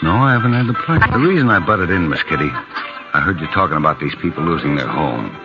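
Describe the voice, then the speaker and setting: A very deep-voiced, polite gambler. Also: male voice, lively intonation, fast. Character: quiet, drifting male card dealer with a soft heart. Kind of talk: 1950s radio drama acting. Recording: dull lo-fi vintage radio recording with music.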